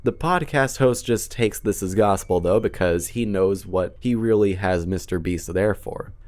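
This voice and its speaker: A man with a low-pitched voice delivers scripted video commentary. His delivery is casual and conversational, with a dry, sarcastic edge. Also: measured pace, darkish tone. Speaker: video essay host.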